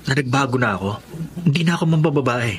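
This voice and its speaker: Actor as a pleading Filipino husband, busy background. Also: male voice, mid-range voice, lively intonation, fast talker, crowd noise, close to an everyday voice. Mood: defensive, pleading. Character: reformed philandering husband, earnestly promising. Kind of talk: Filipino radio drama acting.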